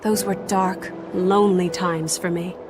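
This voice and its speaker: An actress playing a stern young noblewoman exorcist who speaks in a cold, formal, measured way. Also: fairly low pitch, fairly even intonation, over music, lightly acted. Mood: somber. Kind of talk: game voice acting.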